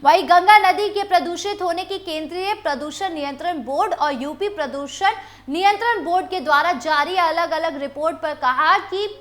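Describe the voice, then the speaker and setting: A woman's voice: extremely high pitch, pushed and bright. A woman news anchor reading scripted political news stories.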